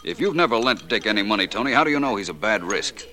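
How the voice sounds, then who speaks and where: Shrewd detective, low voice, lively inflection, polished wry manner, 1940s radio acting. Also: male voice, fast talker. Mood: wry, probing. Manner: polished, wry, formal phrasing. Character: suave, shrewd, unflappable male private detective.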